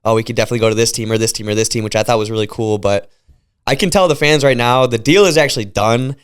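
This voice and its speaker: A man's voice: medium pitch, fast talker, smooth. A man talking as league commissioner in a casual podcast chat, congested from a cold, explaining things in an upbeat way.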